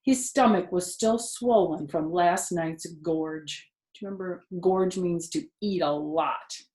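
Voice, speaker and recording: A woman reading a children's story aloud expressively, pausing to explain things to young listeners. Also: on the low side, a little mellow. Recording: roomy indoor echo.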